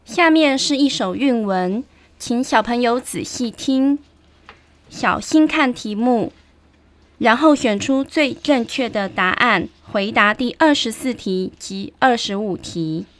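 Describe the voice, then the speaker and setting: A woman announcing listening-exam item numbers and instructions in a slow, measured way. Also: a little high, dry recording. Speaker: test announcer.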